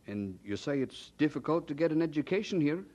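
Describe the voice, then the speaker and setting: A kindly older cousin in a vintage radio drama. His voice is high for a man and very animated, with an encouraging, gently persuasive manner and thoughtful pauses. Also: muffled recording. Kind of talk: mid-century radio drama acting.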